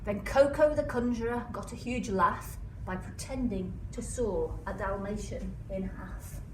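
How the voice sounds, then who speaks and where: A woman reading a rhyming children's story aloud like a teacher, with expressive, emphatic delivery. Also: medium pitch, measured pace. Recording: echoey room, distant mic, noisy background.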